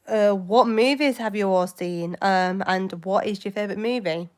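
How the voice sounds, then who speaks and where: Rambling young woman, smooth voice. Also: mid-range voice, moderate pace, darkish tone. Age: 20s. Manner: rambling, hesitant, jumps between topics. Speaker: livestream host. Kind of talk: casual live chat with viewers.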